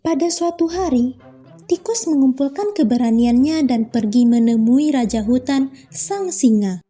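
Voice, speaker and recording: A young woman from Indonesia, a student, calmly narrating a children's fable. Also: high-pitched, unhurried, husky, soft background music. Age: young adult. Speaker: student storyteller. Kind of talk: scripted children's story narration.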